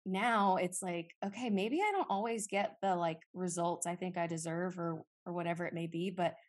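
A woman's voice: lowish voice, moderate pace. A woman co-hosting a podcast, chatting in a rambling, personal way with lots of fillers. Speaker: podcast co-host. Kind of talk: casual podcast chat.

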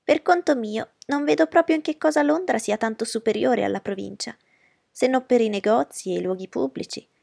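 Woman reading fussy boastful mother, high shrill voice, gravelly, husky, audiobook. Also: normal speed, darkish tone, no room sound, clean studio sound. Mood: gushing. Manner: shrill and effusive. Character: gushing, fussy, boastful middle-aged mother.

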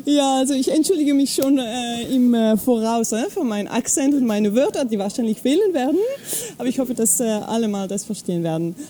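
A woman with a Swiss French accent, a native French speaker, chatting as an interview guest and often pausing to search for words. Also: on the high side, lively intonation, dark tone. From French-speaking Switzerland. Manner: halting, searches for words, many fillers. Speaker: guest, travel blogger. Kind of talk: casual interview chat. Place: outdoors at an evening camp.

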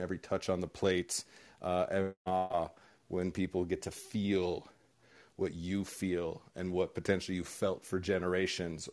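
Low-voiced, laid-back male host. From Southern California. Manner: casual and upbeat, with many "uh" fillers. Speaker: host, moderator. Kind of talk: casual panel moderation.

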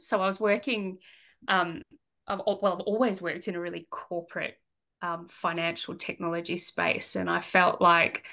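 An Australian woman in her forties, a podcast guest, talking in a relaxed, halting way with frequent ums. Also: lowish voice. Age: 40s. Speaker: guest, online business manager. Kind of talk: casual conversation.

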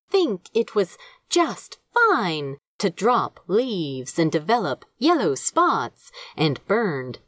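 A woman reading a story aloud as an audiobook narrator, measured and expressive in her scripted delivery. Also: medium pitch, no echo, studio quality. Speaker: fan fiction audiobook narrator.

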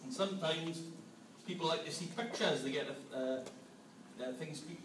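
A man's voice: fairly high pitch, unhurried, distant mic. A man leading an interactive family sermon in a church hall, playfully quizzing the congregation. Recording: echoey hall, mic from afar, room noise.

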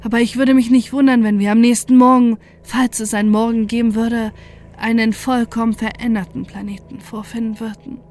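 Casting: actress for horror story narrator, higher register, intense and horrified, dramatic first-person delivery. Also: female voice, little pitch movement, fairly quick, darkish tone, slight background noise, close to an everyday voice. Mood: dread. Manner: dramatic, intense, dread-laden. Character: desperate, horrified narrator confronting a mad former friend.

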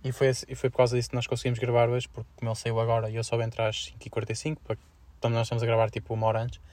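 A young man with a European Portuguese accent co-hosts a casual student podcast. He talks quickly at an average pitch, in a slangy and halting way. The recording is dry, with little room sound. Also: monotone, a little mellow, no room sound. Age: young adult. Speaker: podcast co-host.

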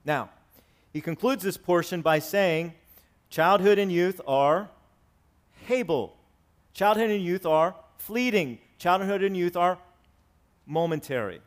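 Male pastor, 40s, slightly high voice; sermon delivery alternating emphatic and conversational. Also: lively intonation, slow pace, long pauses. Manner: swings from loud preaching to soft asides, conversational. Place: church sanctuary with congregation.

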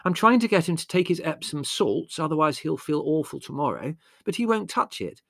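A man voicing an excitable, despairing sister in a storybook reading, plaintive and melodramatic. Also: on the high side, a little fast, vocal fry, no echo, clean studio sound, pitched above the performer's own voice, full character voice. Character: overdramatic, despairing, excitable girl, the family's sister. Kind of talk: acted audiobook character dialogue.

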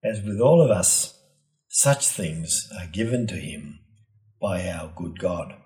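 A man delivering a scripted, reflective homily in a measured, solemn and deliberate manner. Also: sits low, expressive, warm tone, low-quality recording. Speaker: preacher giving a Gospel reflection.

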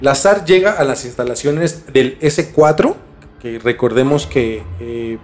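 A man's voice: medium pitch, a little slow, darkish tone. A man with a Mexican accent telling a story in a casual, slangy podcast chat as host. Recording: music bed behind the talk. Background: music.

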